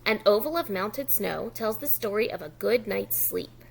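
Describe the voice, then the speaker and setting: A woman's voice: medium pitch, lively intonation, moderate pace, vocal fry, husky, low-quality recording. A woman preschool educator leading a read-aloud lesson for young children, speaking instructively and repeating key words. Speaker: preschool educator reading a picture book to children.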